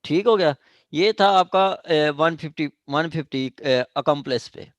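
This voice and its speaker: Male online lecturer, Pakistani accent, slightly high voice, very unhurried, halting and repetitive, mixes in English terms. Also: dry recording. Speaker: law lecturer. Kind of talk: online lecture explaining evidence law. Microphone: online class call.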